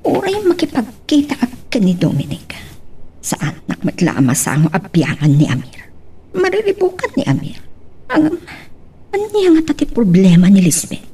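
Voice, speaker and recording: A woman's voice: medium pitch, wildly swooping pitch, moderate pace, warm tone, slight background noise. A woman with an Ilocano accent playing a bossy, nosy, scheming boss in a radio drama. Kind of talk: Filipino radio drama acting.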